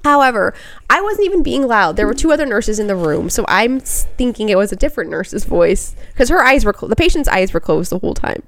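A woman, a nurse guesting on a podcast, with a shrill voice, chatting and laughing at herself. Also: big pitch swings, a little fast, a bit bright. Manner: laughs often, self-deprecating. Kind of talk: casual podcast chat.

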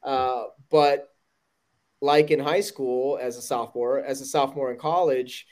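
Emphatic man with a loud voice. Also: medium pitch, steady tone, unhurried. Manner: earnest, emphatic, with frequent restarts. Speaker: podcast guest, running team director. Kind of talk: conversational interview.

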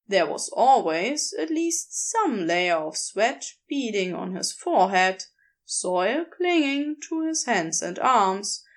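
A woman narrating a written story in an expressive, unhurried storytelling manner. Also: mid-range voice. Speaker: podfic narrator.

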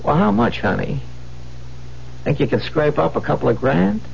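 An actor playing a cocky, greedy, bullying street crook in a 1970s radio drama, sneering and slangy. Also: male voice, on the high side, very animated, moderate pace, gravelly, exaggerated voice. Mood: scheming, greedy. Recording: muffled, noisy 1970s radio recording.

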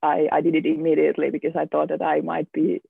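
A man's voice: a little high, monotone, fast talker, gravelly, husky, no echo. A young man talking about himself in a casual round-table chat, hesitant, restarting and repeating words often. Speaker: student guest. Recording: tinny phone-like line, dry.

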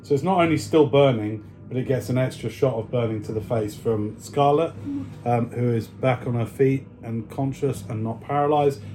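A man's voice: mid-range voice, moderate pace, dark tone, slight background noise, low-quality recording. A middle-aged man running and narrating a family tabletop game, explaining things in an informal way. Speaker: game master.

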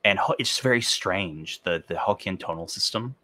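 A man's voice: low voice, expressive, moderate pace, sharp tone, no room sound. A man hosting a podcast, explaining ideas eagerly and hedging his opinions in casual conversation. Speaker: podcast host.

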